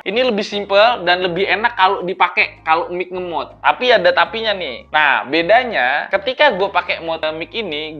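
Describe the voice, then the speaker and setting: Higher-voiced man, dull lo-fi sound. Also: sharp tone. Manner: informal, chatty, step-by-step. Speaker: vlogger, tech reviewer. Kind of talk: casual explainer to viewers. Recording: dull lo-fi sound under music.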